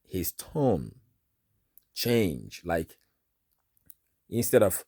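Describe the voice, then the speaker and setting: A deep-voiced Nigerian man with Igbo as his first language, preaching at an unhurried pace. He recounts a dream in an informal sermon and leans on "all right" and "you know" as he talks. The sound is dry and close. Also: very animated, creaky, dark tone, slightly rough, no echo.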